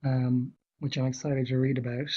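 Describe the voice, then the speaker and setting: A man presents to an online learning group in a measured way, pausing on fillers as he thinks aloud. His voice is husky and hoarse from a cold, at a middling pitch. The dull, lo-fi sound is typical of a webinar recording. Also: little pitch movement.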